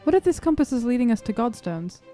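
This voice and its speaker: A woman's voice: on the high side, sing-song, fast, mellow, soft background music. A woman playing a tabletop game, talking casually and improvising in character.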